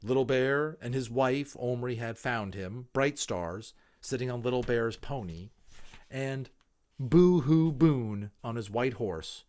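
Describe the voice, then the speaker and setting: A man reading a children's novel aloud in a theatrical, emphatic manner, with deliberate pauses. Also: medium pitch, darkish tone. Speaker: amateur audiobook reader.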